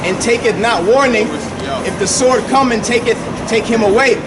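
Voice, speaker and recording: A very high-pitched man declaims Bible verses aloud as the reader for street preachers. He is away from the mic, surrounded by loud street noise. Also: normal speed, distant mic, over a noisy crowd. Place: outdoors on a city street.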